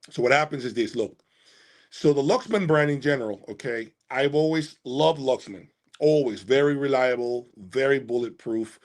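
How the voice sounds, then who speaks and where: A man's voice: mid-range voice, a little mellow. A man hosting a casual livestream about audio gear, answering viewers in an emphatic way and repeating phrases for emphasis. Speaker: host of an audio gear channel.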